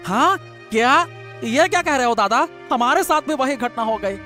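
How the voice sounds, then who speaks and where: An actor voices a wily male villager in a storybook drama, with very lively, swinging pitch. He is theatrical, fawning and falsely humble, repeating himself over soft music. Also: moderate pace. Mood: agitated. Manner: obsequious, theatrical, repetitive. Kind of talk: melodramatic storybook audio drama.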